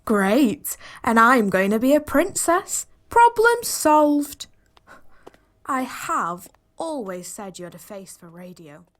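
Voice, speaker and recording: Mocking young panto girl, super high voice, slow and sarcastic. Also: very animated, fairly bright tone, exaggerated voice. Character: Jill, mocking, dreamy young woman. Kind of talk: pantomime audio drama acting.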